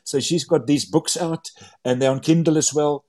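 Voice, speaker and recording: A man's voice: on the high side, steady tone, moderate pace, warm tone. A man whose first language is Afrikaans, speaking English with an Afrikaans accent, talking as a guest about his books in a casual interview. He talks eagerly, tripping over words and restarting often. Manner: enthusiastic, many stumbling repeats and restarts. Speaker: author and Bible translator.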